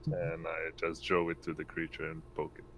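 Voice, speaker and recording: A man's voice: extremely low pitch, completely flat delivery, normal speed, a bit bright, a little hiss or hum. A man speaking casually as a player in a tabletop game.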